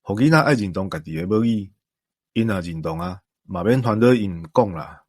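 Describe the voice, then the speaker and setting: A man's voice: low voice, expressive, a little fast, a little mellow, no echo, clean studio sound. A man with a Taiwanese accent giving his views in a conversational discussion, earnest and emphatic. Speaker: commentator.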